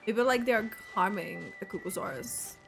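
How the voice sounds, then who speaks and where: A woman giving casual reaction commentary on a video game, with halting phrasing. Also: mid-range voice, creaky, music in the background.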